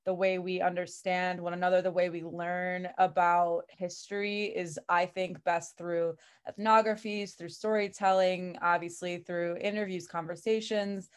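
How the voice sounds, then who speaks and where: A talkative woman from the Chicago area introduces her role in a casual group chat. Her voice sits a little low and sounds smooth and clear, and she laughs often and leans on fillers as she talks. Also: flat delivery, unhurried, hardly any pauses. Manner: chatty, laughing, many fillers. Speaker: project creative consultant and transcriber.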